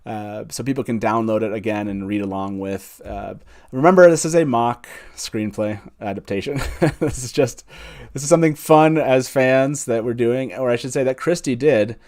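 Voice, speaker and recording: A man's voice: mid-range voice, moderate pace, a little mellow. A man hosting a live online fan chat, speaking casually with hesitant, rambling phrasing. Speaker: host of a fan live stream.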